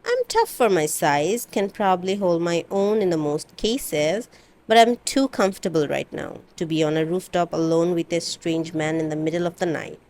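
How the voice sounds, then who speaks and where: A woman reading a first-person novel aloud in an expressive, dramatic amateur audiobook style. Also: fairly low pitch. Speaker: amateur book reader.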